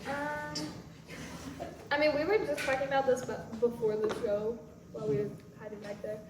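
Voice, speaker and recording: A woman's voice: high voice, normal speed. A woman actor chatting casually at a post-show question session. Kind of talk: casual chat. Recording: echoey room, distant, noisy.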